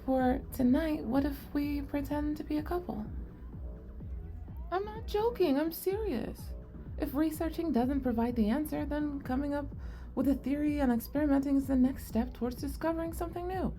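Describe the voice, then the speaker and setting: Woman playing a high-pitched, nerdy young goblin girl. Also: normal speed, faint music behind, close to an everyday voice. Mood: curious, excited. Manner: earnest, inquisitive, expressive. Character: shy, curious, nerdy young goblin girl, sweet and eager. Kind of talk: ASMR roleplay acting addressed to the listener.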